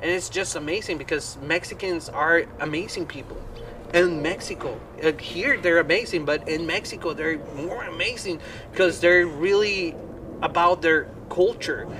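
A man with a slightly high voice talks casually as an interviewed musician, swearing and using slang as he tells stories. He sits back from the mic, with clear traffic and outdoor noise around him. His language is Spanish. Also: moderate pace, distant mic. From Colombia. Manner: animated storytelling with profanity and slang. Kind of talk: casual chat.

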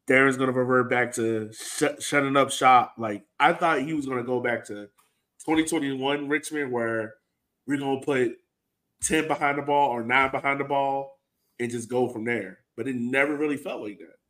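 A man's voice: mid-range voice, steady tone, moderate pace, a bit bright. A man hosting a fan podcast, talking through a soccer match in a casual, conversational way peppered with filler phrases. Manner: conversational, heavy use of "like" and "you know". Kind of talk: casual sports talk, breaking down a match.

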